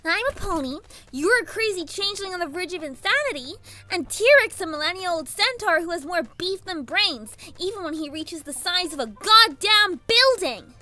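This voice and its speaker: A woman's voice: very high-pitched, animated, moderate pace, hardly any pauses, sharp tone, exaggerated voice. A woman voicing a bratty, indignant young girl pony villain in a fan fiction audio drama, whiny, exasperated and dramatic. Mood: outraged.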